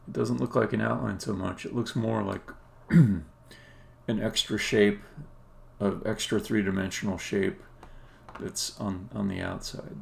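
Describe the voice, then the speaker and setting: A man live-streaming game development, thinking aloud in a casual, halting way as he works, often muttering to himself. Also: on the low side, fairly even intonation, gravelly. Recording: faint noise, keyboard typing and clicks. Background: computer keyboard typing and mouse clicks.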